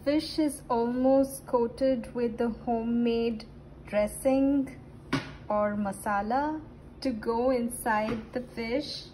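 A woman's voice: a little high, fairly even intonation, slow, noisy background. A woman vlogger cheerfully narrating a home cooking session step by step in a holiday rental kitchen. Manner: upbeat, walks through each step. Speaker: travel vlogger.

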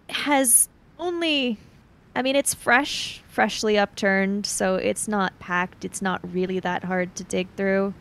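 A woman improvising narration in a roleplay game, with halting, deliberate phrasing. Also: mid-range voice, creaky. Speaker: tabletop roleplay player.

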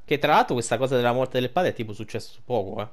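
A man's voice: medium pitch, animated, fast, faint music behind. A young adult man giving casual, colloquial, emotionally invested game commentary, with some swearing. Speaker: game streamer.

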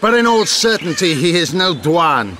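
An actor playing a proud, bitter elf rebel commander in a video game, speaking in measured, eloquent, scornful phrases. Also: male voice, a little high, sing-song, noisy background. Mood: bitter, contemptuous. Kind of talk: dramatic video game acting. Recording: clear forest ambience behind voice.

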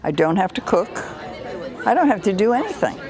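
A woman's voice: medium pitch, big pitch swings, brisk, a little mellow, a faint crowd. A woman in her eighties, blunt and feisty, interviewed aboard a cruise ship. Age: 80s. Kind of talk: casual interview.

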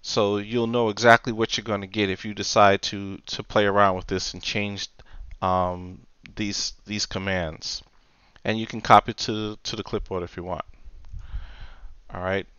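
A man presenting an unscripted software tutorial, explaining step by step in a halting way. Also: lowish voice, flat delivery, clean tone, dry recording, dull audio. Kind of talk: unscripted screen-recorded tutorial.

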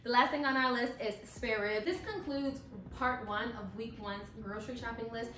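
A woman's voice: a little high, moderate pace, recorded from a distance, background noise. A young woman casually walking through her groceries in a home kitchen, chatty and matter-of-fact, recorded by a camera a few feet away. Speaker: vlogger. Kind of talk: casual grocery haul walkthrough. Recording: roomy kitchen echo from across the counter.

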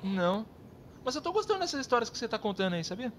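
A woman's voice: on the high side, very animated, very fast, slight background noise. A woman with a Brazilian accent voicing a carefree, teasing boy best friend in a children's comedy cartoon, breezy and colloquial.

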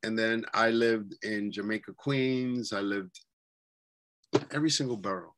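A man in his forties with a New York accent and a fairly low voice talks over a webcam from a bedroom at home. He rambles through his memories, with plenty of fillers and restarts. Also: fairly even intonation, measured pace, fairly bright tone. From New York City. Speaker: guest. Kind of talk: casual interview chat. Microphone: webcam on a video call.

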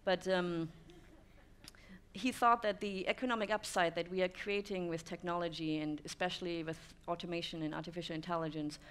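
A woman's voice: on the low side, steady tone, fairly quick, smooth. A German woman in her thirties giving a conference talk to an audience, thoughtful, with many "um" fillers. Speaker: speaker. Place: dim stone-walled room with audience.

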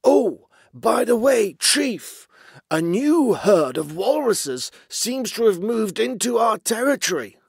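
High, nervous walrus underling. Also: male voice, big pitch swings, slow pace, gravelly, breathy edge, dry recording, clean studio sound, exaggerated voice. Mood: nervously reassuring. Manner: anxious, placating, hesitant with stammers. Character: timid, nervous walrus underling, fawning to his chief.

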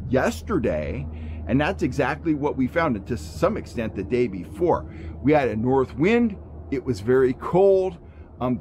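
A man's voice: mid-range voice, a little mellow, a little hiss or hum. A middle-aged American man giving instructional outdoor vlog talk as an angler, explaining points emphatically in folksy phrasing. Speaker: fishing show host and angler. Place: outdoors among trees.

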